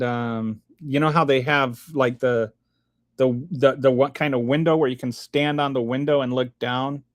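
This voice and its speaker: A man's voice: mid-range voice, no room sound, clean studio sound. A middle-aged man, casually chatting on a livestream and reading viewer comments, rambling with many restarts and fillers. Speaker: livestream host.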